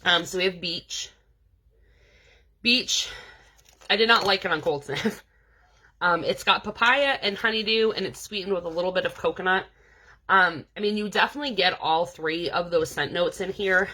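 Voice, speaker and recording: Woman, lower-pitched but bright, congested from allergies; relaxed, rambling reviewer. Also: moderate pace. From New England. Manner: chatty, many "um" and "like" fillers. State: stuffed up from allergies. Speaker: scent consultant. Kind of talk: casual product review.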